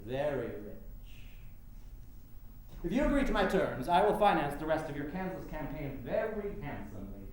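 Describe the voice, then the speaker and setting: An actor plays a grandiose, moneyed political showman in a stage drama. His oratory swings widely in pitch and pushes over others. The sound is very echoey, with faint noise behind it. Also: big pitch swings, moderate pace. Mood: boastful, expansive. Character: rich, pompous male political showman wooing suffragists. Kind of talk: staged play reading.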